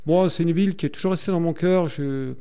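Gravelly, resonant man, hesitant. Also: a little high, fast talker, warm tone. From France. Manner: hesitant, many fillers and restarts. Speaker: singer guest. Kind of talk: radio interview chat.

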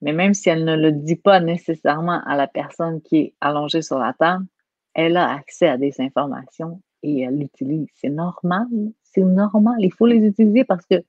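A woman's voice: low-pitched, moderate pace, dry recording. Québécois woman guest, earnest and emphatic, dry dull lo-fi line. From Quebec. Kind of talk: conversational interview.

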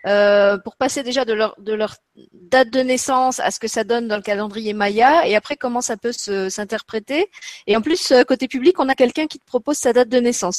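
A woman's voice: on the high side, dry recording. Woman hosting a livestream, dry sound. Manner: friendly, organizing, addresses viewers. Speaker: channel host. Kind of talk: livestream moderating.

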